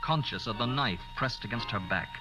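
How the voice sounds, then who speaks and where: An actor as a suspenseful announcer narrating a 1940s radio crime serial in a dramatic scripted style. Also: male voice, mid-range voice, normal speed, natural voice. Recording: old radio broadcast recording. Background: loud organ music.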